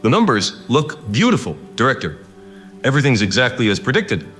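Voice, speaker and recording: A man playing an earnest, cautious theoretical physicist in an audio drama, speaking in a measured, serious and precise way. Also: medium pitch, big pitch swings, fairly bright tone, faint music behind. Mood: focused, pleased. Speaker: scientist.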